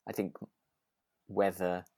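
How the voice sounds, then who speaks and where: Casting: man, deep voice, close dry recording, hesitant and casual podcast co-host. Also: little pitch movement, slow, darkish tone, no room sound. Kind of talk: casual chat about a radio drama.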